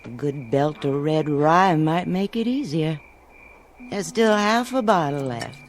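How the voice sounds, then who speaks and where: A woman's voice: low-pitched, animated, measured pace, a little hiss or hum. An actress playing a mysterious, mocking woman in an old television western, teasing as she talks. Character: taunting, mysterious Old West woman in graveyard. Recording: vintage TV soundtrack, faint music underneath.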